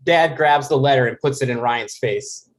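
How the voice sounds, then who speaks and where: A man's voice: mid-range voice, normal speed. A man hosting a live table read and narrating the screen directions of a script. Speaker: host and reader of screen directions.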